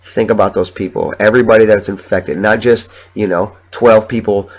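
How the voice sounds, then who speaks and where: A young man hosting his own podcast while sick with the flu, sniffling and talking in a halting, rambling, emotional way with plenty of swearing. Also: on the low side, fairly even intonation, poor audio. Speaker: podcast host. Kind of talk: solo podcast monologue.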